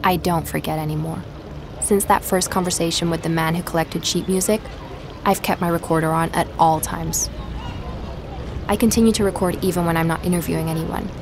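An actress playing an earnest, determined young woman investigator in an audio drama, speaking in a measured, reflective way. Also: on the low side, steady tone, gravelly, over music. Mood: reflective narration. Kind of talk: audio drama, conversation and first-person narration.

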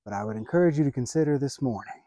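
A man in his thirties preaching a sermon outdoors by a lake, earnest and measured, with deliberate pauses. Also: mid-range voice, animated, warm tone, no echo. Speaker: pastor. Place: outdoors by a lake, seated on a bench.

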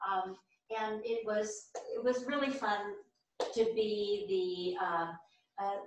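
A woman, a stage actress, reflecting informally on her role in a halting way with restarted phrases. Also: mid-range voice. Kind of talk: informal post-performance reflection. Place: small theatre stage, distant camera. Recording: echoey, distant theatre recording, some noise.

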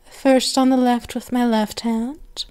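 A woman's voice: a little high, little pitch movement, warm tone, smooth. A woman performing an intimate ASMR roleplay as a doting, teasing, possessive vampire mistress, cooing and sometimes slipping into whispers. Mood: tender, soothing. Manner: slow, cooing, with whispered phrases and drawn-out words.

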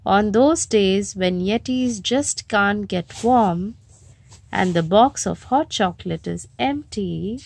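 A woman's voice: mid-range voice, lively intonation, takes it slowly, gravelly, darkish tone, no echo. A woman reading a picture book aloud to young children at home, putting questions to them as she goes. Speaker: storybook reader and host of children's story time. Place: home bedroom, indoors.

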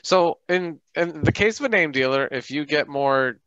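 Bright, clear man running game rules. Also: on the high side, animated, moderate pace, no echo. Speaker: game facilitator explaining rules. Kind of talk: casual tabletop game talk. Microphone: group video call. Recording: dull band-limited online call.

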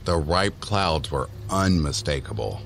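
A man with an extremely deep voice narrates a scripted horror story. Faint music plays beneath his reading. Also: lively intonation, a little slow, vocal fry, fairly bright tone.

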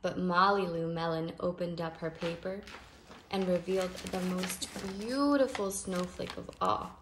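A woman's voice: on the low side, fairly even intonation, unhurried. A woman reading a children's picture book aloud expressively, stretching key phrases with emphasis. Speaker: storybook reader. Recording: noisy home recording with clicks.

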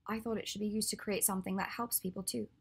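A woman reading the part of an earnest, clever young witch, her voice a little raised and husky. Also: mid-range voice, fairly even intonation, fast talker, pitched above the performer's own voice. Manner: earnest, then sulky and teasing.

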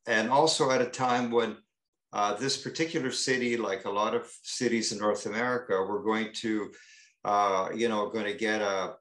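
A man speaking as an artist on a panel, talking in a halting way with many fillers and restarts. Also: lowish voice, a bit bright, echoey room. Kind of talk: panel discussion. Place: gallery talk room.

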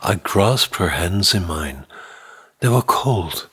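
A man in his sixties with a husky voice, giving a tense, dramatic audiobook reading of a mystery novel close to the microphone. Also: deep voice, lively intonation, slow pace, pushed and bright. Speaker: audiobook narrator. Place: indoor room, office or home studio. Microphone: close condenser microphone on a boom arm.

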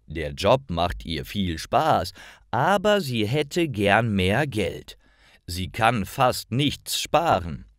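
Guy reading stories for language learners, deep voice, slow careful words, no room sound. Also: no room sound, studio quality. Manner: careful, clearly enunciated reading. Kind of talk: scripted storytelling for learners.

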